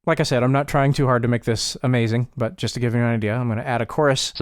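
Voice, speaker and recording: Clear-voiced man, casual and offhand, close dry sound. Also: medium pitch, steady tone, rapid-fire, no room sound. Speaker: tutorial presenter. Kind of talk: casual spoken software demonstration.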